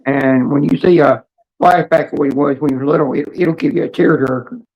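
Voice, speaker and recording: A woman with a hoarse, raspy voice hosting a casual livestream chat in a rambling, halting, blunt way. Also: deep voice, a little mellow, highs cut off. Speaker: livestream host.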